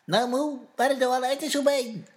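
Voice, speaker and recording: High childlike little creature, played by a woman. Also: steady tone, a little fast, natural voice. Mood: dismayed. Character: small eager little fantasy creature, male, cheerful adventurer. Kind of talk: children's musical audio drama acting.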